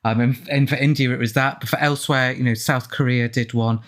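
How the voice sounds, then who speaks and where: Male commentary speaker, medium pitch, conversational and reflective, tends to wander through his points. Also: fairly even intonation, brisk. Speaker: author and film commentary speaker. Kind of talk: casual chat, film commentary.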